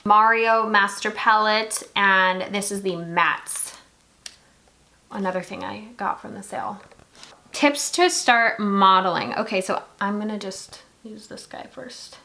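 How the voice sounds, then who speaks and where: A woman's voice: mid-range voice, unhurried, bright, forceful tone. A young woman from Singapore chatting casually while doing her makeup, filling her talk with lots of "like". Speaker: beauty vlogger.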